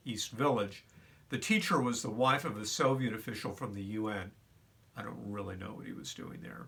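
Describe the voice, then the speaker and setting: Older man, bright voice, off-mic. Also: mid-range voice, recorded from a distance. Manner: reflective, conversational, many fillers and restarts. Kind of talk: personal storytelling.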